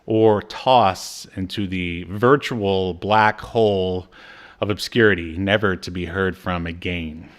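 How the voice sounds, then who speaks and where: A man's voice: sits low, fairly even intonation, slow pace. A man co-hosting a podcast, giving an informal, joking review in casual conversation. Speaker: podcast co-host.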